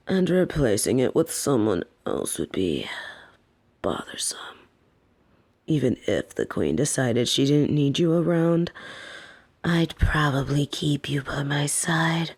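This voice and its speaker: A woman plays a female lamia captor in an intimate fantasy audio roleplay. She speaks slowly and breathily, often slipping into whispers and creaky tones. Her pitch moves freely as she patronizes and teases with soft, possessive dominance. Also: exaggerated voice. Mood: tender, possessive. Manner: slow, teasing, patronizing.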